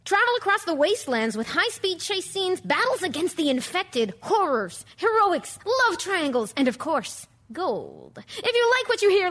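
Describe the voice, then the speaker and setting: Very high-pitched, lively woman announcer. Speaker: podcast announcer. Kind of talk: scripted promotional narration.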